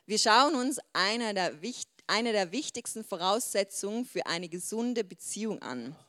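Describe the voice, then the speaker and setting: A young woman in her twenties gives an informal talk to a group. She has a Swiss German accent and a mid-pitched voice. Her manner is chatty, with English words mixed into her sentences. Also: expressive, measured pace, bright, forceful tone. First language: Swiss German dialect. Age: 20s. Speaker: youth group speaker.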